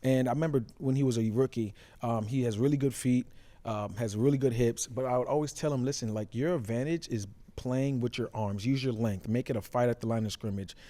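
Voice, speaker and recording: A man's voice: medium pitch, a little fast, mellow. A man talking casual sports analysis as a former player, making emphatic points and repeating phrases for stress. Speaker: former safety, show analyst.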